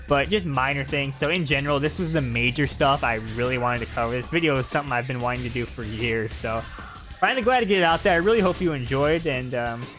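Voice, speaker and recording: A man's voice: mid-range voice, a bit bright. A man giving casual, informal and chatty commentary on a video game. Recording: game music behind the voice.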